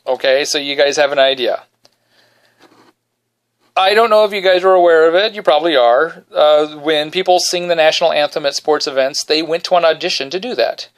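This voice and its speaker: Smooth-voiced man telling a self-deprecating story. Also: brisk. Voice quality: baritone. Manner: self-deprecating, animated storyteller who sings snippets. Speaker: podcast host. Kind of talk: casual solo podcast storytelling. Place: bedroom, recorded quietly.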